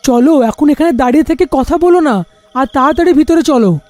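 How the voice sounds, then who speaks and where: An earnest, practical family man, acted by a woman, with a high, mellow voice and a dry studio sound. He speaks plainly, as a poor father in a cartoon horror story. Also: fairly quick, dry recording, faint music behind, lightly acted. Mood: practical, urging.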